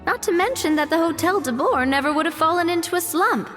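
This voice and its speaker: A woman's voice: extremely high pitch, animated, fast talker, a bit bright, big-room sound, soft background music. A woman playing a cheerful, reassuring young woman in a video game, with a light, coaxing, conversational delivery. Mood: playful, reassuring.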